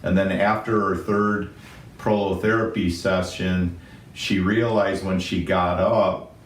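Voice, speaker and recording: A male doctor with a booming voice, calmly explaining a medical condition in an unscripted talk. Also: slow pace, distant mic. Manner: steady, methodical explaining in medical terms. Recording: roomy echo, somewhat off-mic, faint ambience.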